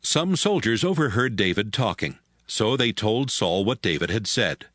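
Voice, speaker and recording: A man's voice: lowish voice, very animated, moderate pace, a bit bright, no room sound, clean studio sound. A man narrating a dramatized audiobook as a scripted storyteller. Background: music.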